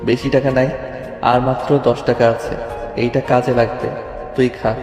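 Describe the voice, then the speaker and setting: A man's voice: mid-range voice, flat delivery, a little slow, darkish tone, lightly acted. In a reverberant audio drama with music underneath, a man plays a principled young male student. He speaks evenly and seriously, holding himself back. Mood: serious, firm. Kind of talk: Bengali audio story acting. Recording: echoey long reverb, music bed.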